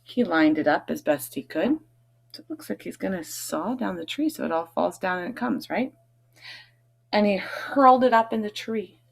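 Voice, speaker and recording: A woman's voice: medium pitch, expressive, moderate pace, creaky. A woman reading a picture book aloud to children, stressing key words expressively and adding chatty, questioning asides. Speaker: read-aloud host, teacher reading to children.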